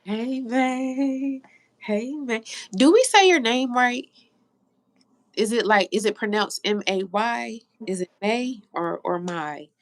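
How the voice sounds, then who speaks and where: A woman hosting a live stream with a stuffy, congested voice. Her tone has a gravelly, fry-edged texture. She chats loosely and talks straight to her viewers, reading their comments as they come in. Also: fairly high pitch, slow, dry recording. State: stuffy nose. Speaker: livestream host. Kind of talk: casual live stream chat.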